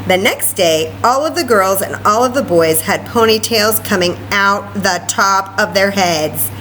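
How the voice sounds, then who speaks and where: A woman reading a story aloud to children in an expressive way, with chatty asides and questions. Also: mid-range voice, measured pace, slight background noise, poor audio. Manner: expressive, asks listeners questions. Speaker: library story time reader.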